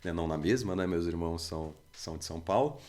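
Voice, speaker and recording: A man in his forties from Brazil, chatting on a church podcast in an unhurried, hesitant way with many fillers and restarts. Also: low voice, darkish tone. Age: 40s. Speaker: church member guest. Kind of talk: casual podcast conversation.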